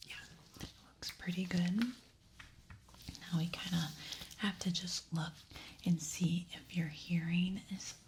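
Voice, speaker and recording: A woman in a breathy whisper, softly giving short, reassuring instructions as an examiner in an ASMR medical roleplay. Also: fairly low pitch, fairly even intonation, slow, pauses often, noisy background. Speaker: ASMR creator playing an ear examiner.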